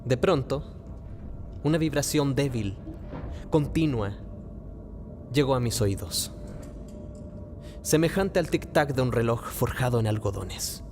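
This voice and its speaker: A man playing a nervous, obsessive madman confessing a murder in a theatrical, deliberate radio play monologue. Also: mid-range voice, expressive, darkish tone, soft background music. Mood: tense, obsessed. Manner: theatrical, deliberate, halting phrasing. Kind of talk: dramatic radio play monologue, gothic horror narration.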